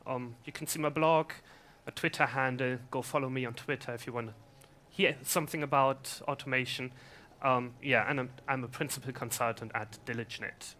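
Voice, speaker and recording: A man's voice: mid-range voice, steady tone, fairly quick, bright, forceful tone, slight background noise. A man presenting at a conference, chatty and self-deprecating, asking the audience questions. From Scotland and Germany. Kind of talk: casual conference talk. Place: conference room.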